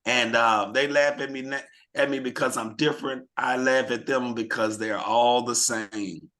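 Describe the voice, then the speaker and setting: A slightly hoarse man hosting a team video call, rallying the group with questions in an energetic, motivational way. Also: medium pitch, normal speed. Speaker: team leader hosting a real estate mastermind call.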